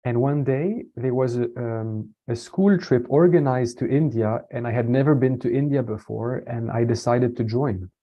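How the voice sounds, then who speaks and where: A man from France giving a casual online talk, relaxed and hesitant, with many fillers and restarts. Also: mid-range voice, normal speed, few pauses, a little hiss or hum. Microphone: computer mic on a video call. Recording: dull lo-fi video call audio.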